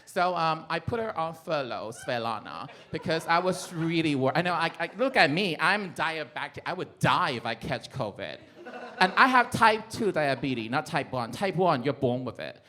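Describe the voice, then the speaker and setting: A middle-aged man from Taiwan with a Taiwanese accent, performing a playful stand-up comedy set, breaking into shouts and laughter. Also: on the high side, sing-song, normal speed.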